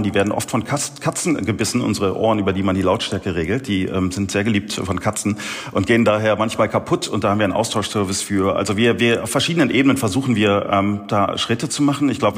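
A man being interviewed as a company guest, explaining casually and hesitantly with repeated words. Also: on the low side. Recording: long reverberant room echo.

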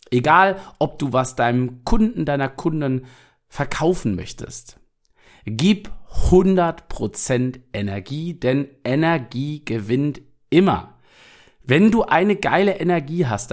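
A young man hosting a solo podcast, talking straight to the listener in an energetic, motivational way while still recovering from an illness. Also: mid-range voice. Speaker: podcast host.

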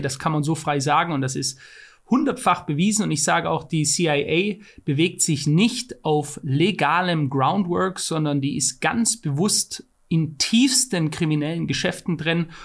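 A man's voice: fairly high pitch, creaky. A man co-hosting a podcast, talking in an emphatic, argumentative way, his voice climbing when he stresses a point. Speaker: podcast co-host. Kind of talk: casual conversational podcast.

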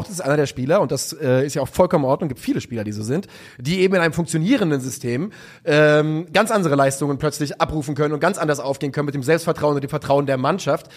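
Fast-talking slangy man. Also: on the high side. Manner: quick, slangy, offhand. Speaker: podcast co-host. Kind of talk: casual football chat.

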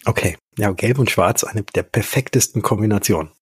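A man's voice: on the low side, fairly quick, slightly rough, no echo. A man hosting a podcast interview, asking questions in an upbeat, conversational way. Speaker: podcast host.

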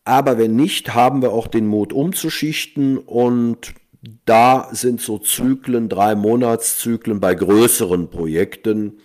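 A man guest on a podcast, explaining his consulting work slowly and deliberately, with frequent fillers. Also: medium pitch, darkish tone. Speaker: guest, online marketing consultant.

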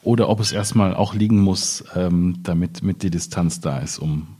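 A man in a reflective literary discussion, speaking hesitantly and deliberately as he searches for his words. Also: low-pitched, dark tone, a little hiss or hum. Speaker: author in panel discussion.

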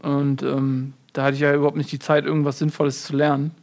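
A man playing a muddled, rambling fortune cookie writer in a deadpan comedy interview. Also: on the high side, fast talker, natural voice. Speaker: mock interview guest. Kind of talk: improvised comedy sketch.

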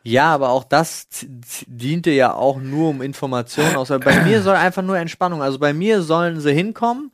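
Man, raised pitch, casual podcast talk, loose self-correcting phrasing, close dry sound. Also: brisk, hardly any pauses, dry recording. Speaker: podcast co-host. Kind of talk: casual chat.

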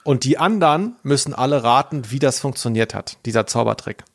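A man's voice: mid-range voice, animated, a little fast, vocal fry. A man hosting a podcast in casual conversation, telling personal anecdotes in a rambling, self-deprecating way. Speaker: podcast host.

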